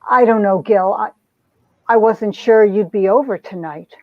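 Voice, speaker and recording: A woman's voice: mid-range voice, unhurried, no room sound, lightly acted. A woman playing an earnest young heroine telling her troubled story in a theatrical, emotive romance-comic reading. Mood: frightened. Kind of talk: dramatic comic-book reading, melodramatic first-person narration. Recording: dull, lo-fi recording.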